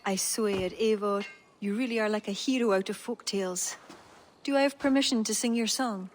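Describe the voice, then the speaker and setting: A woman playing an admiring medieval court poet in a video game, sincere and gentle. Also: medium pitch, moderate pace, a little hiss or hum, lightly acted. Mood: grateful, admiring. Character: grateful, admiring young female court poet. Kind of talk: video game cutscene acting.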